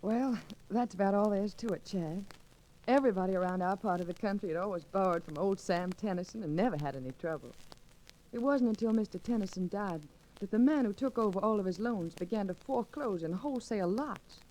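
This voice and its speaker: A woman's voice: mid-range voice, expressive, a little fast, dark tone, close to an everyday voice. An actress playing an earnest, composed young frontier woman in an old-time radio western, speaking plainly with some hesitation. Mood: subdued, uncertain. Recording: noisy old radio recording.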